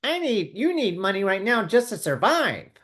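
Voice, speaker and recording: A man voicing his own whiny, nagging inner critic in a high, complaining tone. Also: big pitch swings, moderate pace, a bit bright. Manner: whining, scolding about money.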